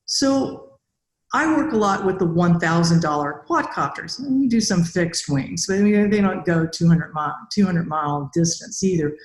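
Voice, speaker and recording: A woman's voice: fairly low pitch, animated, normal speed. An older woman hosting a conversational podcast interview as a robotics researcher. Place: indoor room with bare walls. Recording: echoey room on video call.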